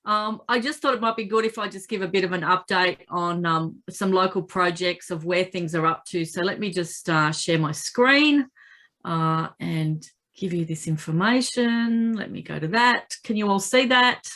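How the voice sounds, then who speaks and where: An Australian woman, croaky with a cold, giving an informal, upbeat update as a local councillor on a video call. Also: lowish voice, normal speed, fairly bright tone. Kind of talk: informal spoken update at a community video meeting.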